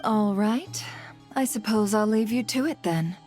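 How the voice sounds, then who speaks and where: A poised, shrewd guild boss lady played by a woman in a video game. She speaks in a composed, deliberate, businesslike way at a medium pitch, with music playing behind her. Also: a little mellow, close to an everyday voice. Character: poised, shrewd female guild leader giving out a commission.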